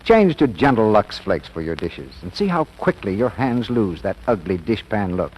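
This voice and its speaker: A man working as an announcer, delivering a 1940s radio introduction and sales pitch. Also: very animated, moderate pace, a little mellow, low-quality recording. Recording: old radio recording with faint noise.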